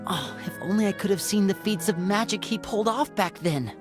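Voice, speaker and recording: A woman's voice: medium pitch, a little fast, music in the background, lightly acted. A woman playing a composed, articulate character in an anime-style video game. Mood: composed, admiring.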